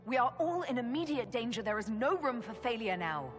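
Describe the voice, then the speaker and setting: An actress playing a resolute young female hero and leader in a video game, speaking in a firm, measured way. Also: on the high side, very animated, big-room sound, music in the background. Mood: commanding. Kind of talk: video game cutscene acting.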